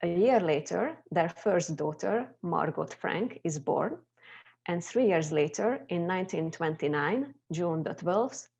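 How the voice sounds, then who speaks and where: A woman's voice: sits low, gravelly, a little mellow, dull audio. A young adult Hungarian woman with a Hungarian accent, presenting an informal educational tour as a guide on a video call.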